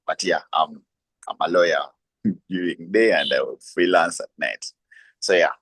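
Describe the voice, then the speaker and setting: A young man with a Ugandan accent answers interview questions in a very deep voice. The recording is dry and close, and he talks hesitantly, leaning on um fillers as he explains. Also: normal speed, fairly bright tone, husky, no echo. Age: young adult. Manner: hesitant, many um fillers, laughs lightly. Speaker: guest, lawyer and freelancer. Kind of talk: conversational interview.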